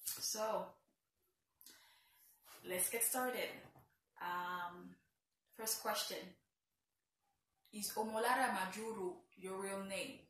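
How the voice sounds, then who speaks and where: A woman's voice: mid-range voice, steady tone, drawn-out words, long pauses, a bit bright, slight background noise. A young woman with a Haitian accent, from Haiti, chatting playfully and teasing herself in a casual vlog. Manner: playful, teasing, self-mocking asides. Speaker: vlogger. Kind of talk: casual get-to-know-me vlog. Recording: very echoey room, a bit far from the mic.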